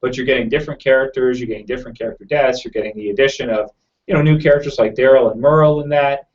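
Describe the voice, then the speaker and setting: A man talks fast and loosely from home, trailing into fillers as he answers viewers' questions. His voice sits at an average pitch and sounds a little raw. The audio is dull and lo-fi, like a home computer mic on a live stream. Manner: fast-talking, rambling with many fillers. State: slightly raw throat. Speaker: YouTube channel host.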